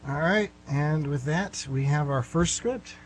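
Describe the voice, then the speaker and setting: A man's voice: fairly high pitch, measured pace, low-quality recording. A man hosting a radio theater show, giving an informal introduction. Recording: hum and clear background noise.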